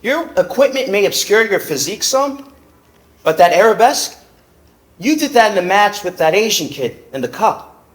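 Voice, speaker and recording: A man's voice: high voice, very animated, normal speed. An actor playing a cocky, tired young male fencer who talks in a teasing, flippant way, on a theater stage. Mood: probing. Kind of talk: stage play acting.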